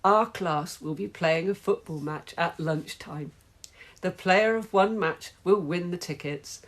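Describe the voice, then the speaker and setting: A woman's voice: lowish voice, measured pace. A woman reading a children's story, voicing a strict, stern female schoolteacher who speaks in a clipped, scolding way.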